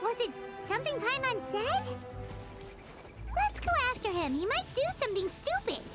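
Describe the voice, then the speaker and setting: Squeaky, excitable little fairy sidekick. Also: female voice, normal speed. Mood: matter-of-fact. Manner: squeaky childlike, dramatic, refers to self by name. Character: tiny floating fairy companion, jumpy, bossy, talks in third person. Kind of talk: English video game voice acting. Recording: loud game music behind.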